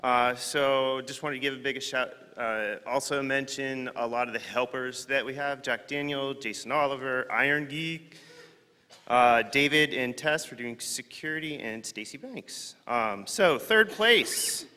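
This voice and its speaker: A man's voice: mid-range voice, measured pace, bright, forceful tone, clean tone. A man on stage announcing contest winners, upbeat and joking as he calls out to the crowd. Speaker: contest organizer presenting prizes. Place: large conference hall. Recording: reverberant hall with crowd.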